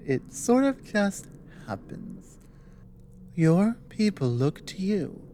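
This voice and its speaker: A woman playing an earnest, resolute female dragon ally in an ASMR roleplay, speaking in halting, intimate phrases with pauses. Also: low-pitched, mellow, slight background noise. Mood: earnest, encouraging.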